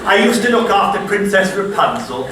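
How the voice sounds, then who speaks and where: Man doing a self-admiring old-style stage nanny, broad panto comedy, lively swooping pitch, distant noisy hall recording. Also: a little fast. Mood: grand, gushing. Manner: theatrical, exaggerated, self-admiring. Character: vain, gossipy pantomime dame, royal nanny Nurse Hetty. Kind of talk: broad comic pantomime dame acting. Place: theatre stage. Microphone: far from the performer.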